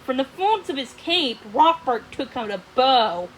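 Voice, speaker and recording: A woman narrating a storybook aloud, haltingly, often stumbling and going back over phrases. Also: sits high, very animated, slight background noise, poor audio.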